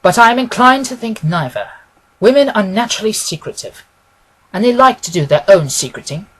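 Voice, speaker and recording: A man reading an audiobook, voicing a confident, analytical gentleman detective with an emphatic manner. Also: high-pitched, lively intonation, fairly quick, rough recording. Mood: assured, analytical. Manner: deductive, emphatic, self-assured.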